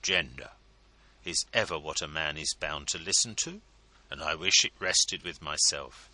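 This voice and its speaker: A man voicing an awkward, slow-witted young gentleman who talks in a halting, stiff way. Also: rumbling low, no echo, exaggerated voice.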